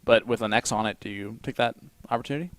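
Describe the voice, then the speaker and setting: Teasing darts commentator with an Indiana accent. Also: male voice, medium pitch, fast talker, a bit bright, a little hiss or hum. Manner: joking, teasing banter. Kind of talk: play-by-play commentary. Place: commentary desk at a darts tournament.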